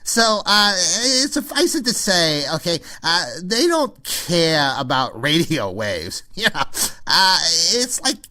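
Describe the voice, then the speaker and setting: Whiny, swooping smug radio host. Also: male voice, high-pitched, very animated, pushed and bright, heavily acted. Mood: exasperated, amused. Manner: halting, repetitive, chuckles through exasperated complaints. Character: whiny, smug New York radio host, self-promoting arts snob. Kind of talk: comedy impression of a radio talk host.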